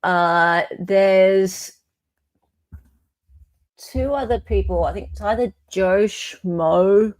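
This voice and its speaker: A woman hosting casual commentary in an animated, blunt way. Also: medium pitch, very slow, pauses often, no room sound. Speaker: host.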